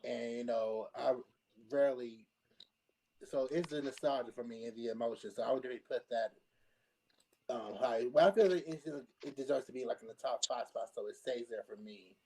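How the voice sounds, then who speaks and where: A man casually giving his opinions in a panel discussion, often halting as he searches for words. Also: medium pitch, a little mellow, slight background noise, rough recording.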